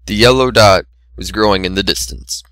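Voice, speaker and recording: A man's voice: on the low side, moderate pace, fairly bright tone, no room sound. A man narrating a story as an amateur dramatic reading of fan fiction.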